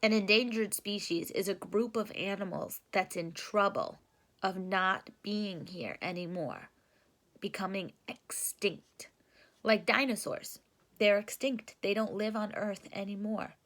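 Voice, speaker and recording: A woman reading a children's storybook aloud and explaining it simply, in an expressive, careful teacherly way. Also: mid-range voice, measured pace.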